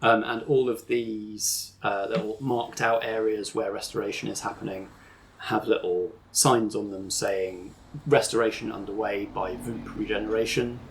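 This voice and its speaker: A man's voice: lowish voice, level tone, moderate pace, gravelly, slightly rough, poor audio. A man hosting a roleplay podcast and narrating as game master. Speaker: game master and show host.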